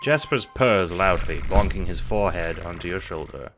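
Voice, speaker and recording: A man narrating an audiobook-style drama in the second person with an expressive storytelling delivery. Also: sits low, normal speed, background noise.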